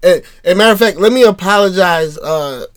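Male podcast co-host with a strained, fading voice; relaxed street talk, pitched a bit high. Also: fairly quick. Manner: laid-back, talks in street slang. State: losing his voice. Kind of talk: casual chat.

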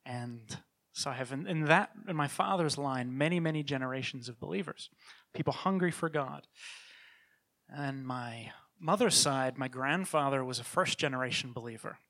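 Bright-voiced man, softened New Zealand accent. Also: mid-range voice. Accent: New Zealand, softened by years in Canada. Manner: earnest, conversational, with frequent restarts. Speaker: children's ministry leader. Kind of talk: church talk with personal testimony.

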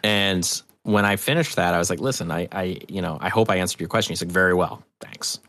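A man's voice: low voice, a little fast, fairly bright tone. An American man chatting as a podcast guest, telling anecdotes in an emphatic, opinionated way with frequent swearing. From New Hampshire, United States. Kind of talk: casual, opinionated interview chat.

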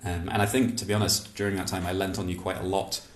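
A man hosting an interview podcast, relaxed, with dry humor and many fillers. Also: deep voice, quick pace, roomy sound. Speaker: podcast host. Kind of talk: interview conversation.

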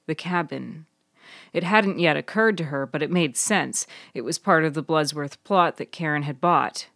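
A woman narrates a serial story in a low register. The sound is dry and close, and she reads in a scripted audiobook style. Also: normal speed, fairly bright tone, no echo. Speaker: author and narrator.

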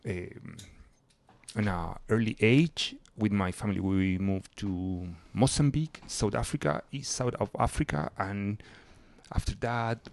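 Man from Chile, guest DJ, low voice, halting short answers. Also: a little mellow.

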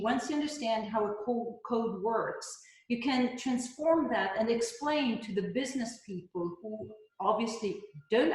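A woman's voice: on the high side, a little mellow. A middle-aged woman with a Hebrew mother tongue talks as an interview guest. She stops to correct herself now and then and adds dry little jokes. Her voice rings in a strongly echoing room. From Switzerland. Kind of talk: casual interview talk. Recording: very echoey room.

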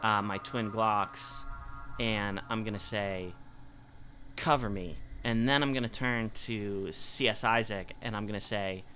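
A man's voice: fairly low pitch, fairly even intonation, a little slow, pushed and bright. A man playing a tabletop role-playing game, casually describing his character's actions and often restating himself. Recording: music behind the voice. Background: music.

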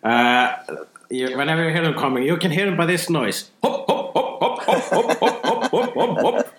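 A man, joking deadpan in a casual chat as co-host. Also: fairly high pitch, moderate pace. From Iceland. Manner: deadpan joking, mock-serious. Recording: roomy echo.

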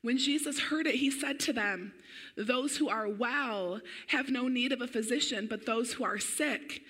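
Lady pastor preaching, bit of a weak voice, keeps clearing her throat, bright and high. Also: unhurried, low-quality recording. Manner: energetic, conversational preaching. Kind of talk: sermon.

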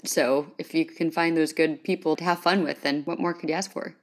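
A woman's voice: low voice, steady tone, a little fast. A woman with an American accent hosting a casual podcast in an enthusiastic, appreciative manner. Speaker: podcast host.

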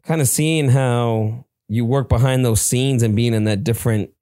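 A man's voice: mid-range voice, fairly even intonation, moderate pace, dark tone, dry recording, studio quality. Rambling man, Spanish his first language. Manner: rambling, many restarts and fillers. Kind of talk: casual chat.

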